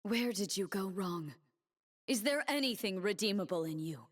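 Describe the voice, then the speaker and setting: A woman's voice: mid-range voice, animated, moderate pace, lightly acted. A woman playing a proud, stern young warrior who defends her homeland, with a solemn, defiant, cutting delivery for a video game. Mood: haughty, teasing. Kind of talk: video game character voice lines.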